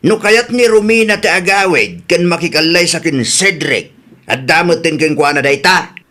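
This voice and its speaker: A male actor plays an outspoken, earnest man in a family radio drama. His voice sits a little high. He speaks with an Ilocano Filipino accent. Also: lively intonation, normal speed, sharp tone. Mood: argumentative.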